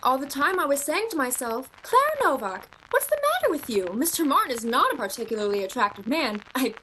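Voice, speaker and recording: A woman acting in a radio play as a romantic, earnest young shop clerk, expressive and theatrical. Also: high voice, a little fast, a little hiss or hum, heavily acted, poor audio. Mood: confiding, earnest. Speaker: amateur actor.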